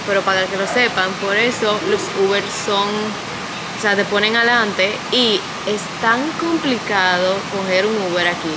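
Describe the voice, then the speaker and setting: Young woman vlogger, talking fast and casually, filmed at arm's length over loud waterfall noise. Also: medium pitch. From Dominican Republic. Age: 20s. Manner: chatty, quick, mixes English words into Spanish. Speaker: travel vlogger. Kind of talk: casual vlog talk to camera. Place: outdoors and an open-air hotel restaurant. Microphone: handheld camera at arm's length.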